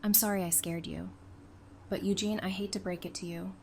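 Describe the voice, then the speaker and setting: An actress playing a brave, loyal, plain-spoken survivor in an audio drama. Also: female voice, medium pitch, steady tone, brisk, darkish tone, natural voice. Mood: tender, firm. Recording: clear background noise.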